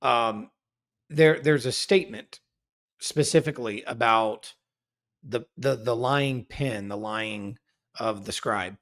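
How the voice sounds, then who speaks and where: A man's voice: mid-range voice, takes it slowly, fairly bright tone. A man as a guest researcher in a casual scholarly discussion, careful and self-correcting, explaining his findings at length.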